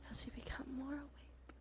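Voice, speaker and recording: A woman giving an ASMR guided visualization in a slow, soothing, gently coaxing manner. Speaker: ASMR streamer. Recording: music behind the voice. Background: music.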